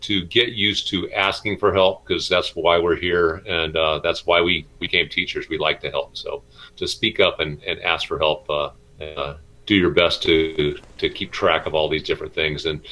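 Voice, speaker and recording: A middle-aged man, a schoolteacher, answering interview questions informally in a halting, hesitant way with many pauses. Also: low-pitched, bright, forceful tone, low-quality recording. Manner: halting, hesitant delivery with frequent pauses and repeats. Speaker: middle school math and science teacher.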